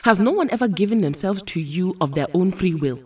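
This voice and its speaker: A woman acts as a noble goddess-like superheroine in an audio drama. Her voice is low and distinctly husky, a little distant from the mic. She chooses formal, measured words with serene dignity. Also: expressive. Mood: earnest.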